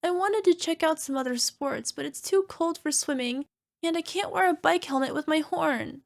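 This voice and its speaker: A woman's voice: sits high, moderate pace, creaky. A woman playing an insecure, self-conscious cyclops monster girlfriend in an ASMR roleplay, talking in a dramatic, playfully exasperated way. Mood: grumbling. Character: insecure chubby flying purple people eater girlfriend, a cyclops monster. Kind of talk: ASMR roleplay acting, conversational.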